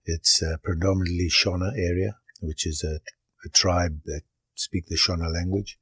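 A man's voice: deep voice, fairly even intonation, normal speed, fairly bright tone, husky, no room sound, studio quality. An older man who grew up in Zimbabwe, a goalkeeper coach answering interview questions and explaining his training step by step. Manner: explains step by step, plain and practical.

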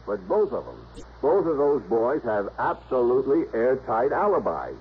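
A man playing an earnest informant who lays out a case deliberately, in a 1960s radio drama. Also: medium pitch, wildly swooping pitch. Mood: grave. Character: earnest, low-voiced male informant laying out a case. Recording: dull old radio recording, faint noise.

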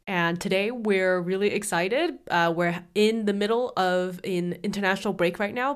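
A woman's voice: lowish voice, clean tone. A congested woman co-hosting a football podcast, chatting casually with dry teasing. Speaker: podcast co-host.

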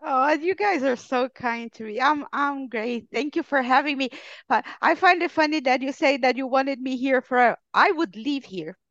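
Bright-voiced woman from Brazil, dry lo-fi sound. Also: sits high, normal speed, no room sound. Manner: friendly, stammers on restarts. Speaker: podcast guest. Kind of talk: casual interview chat.

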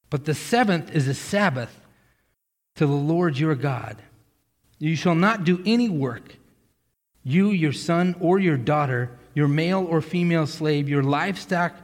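A man from Massachusetts preaching a casual, joking sermon as pastor, often restarting his sentences. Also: fairly high pitch. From Massachusetts, USA. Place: church sanctuary. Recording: hall reverb.

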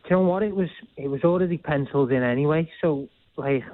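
A man's voice: on the high side, fast, no echo. Scouse young man on a tinny phone line. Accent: Liverpool (Scouse). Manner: chatty, laughs often, plain-spoken. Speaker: boxer, phone-in guest. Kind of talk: casual interview chat.

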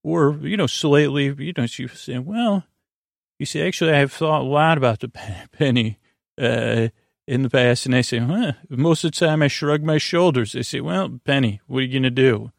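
A creaky-voiced American man delivers a soothing bedtime monologue, close and dry. His voice is mid-pitched and gravelly, and he wanders haltingly through silly tangents, circling back over his own words. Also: no room sound. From United States. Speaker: host of a bedtime podcast.

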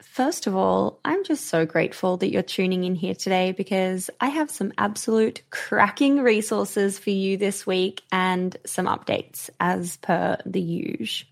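A woman hosting a podcast in an upbeat, chatty way, her voice a bit croaky. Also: mid-range voice, measured pace, few pauses. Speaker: podcast host. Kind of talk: casual podcast monologue.

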